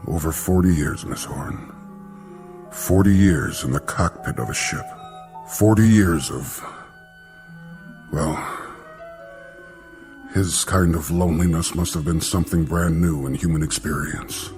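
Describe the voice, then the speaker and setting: Casting: deep-voiced official, formal and compassionate, classic sci-fi TV drama over music. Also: male voice. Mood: compassionate regret. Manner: measured, grave, formal. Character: solemn male space-program official, compassionate and formal. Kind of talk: mid-century television drama acting. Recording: vintage soundtrack with loud music behind.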